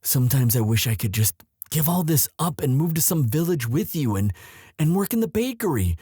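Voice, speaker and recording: A man playing an anxious, protective young prince in love, speaking earnestly and haltingly in a romantic roleplay audio drama. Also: fairly high pitch, very animated, dark tone, slightly rough, no echo, studio quality. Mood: conflicted, earnest. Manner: earnest, halting, tender delivery.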